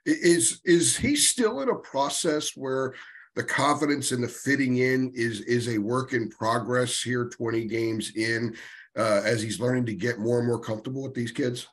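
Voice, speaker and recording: A man's voice: mid-range voice, normal speed. A man working as a sports reporter, asking questions on a press conference call.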